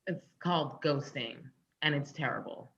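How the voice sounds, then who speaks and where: A woman's voice: deep voice, normal speed. A woman playing a dry, sensible, blunt girlfriend in a comedy script read, with slightly dull, lo-fi sound. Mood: teasing, blunt.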